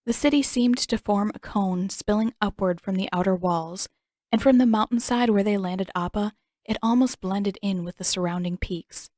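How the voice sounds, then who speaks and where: Female narrator, calm storybook reading, mid pitch, dry close recording. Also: moderate pace, dry recording. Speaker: podfic reader and author.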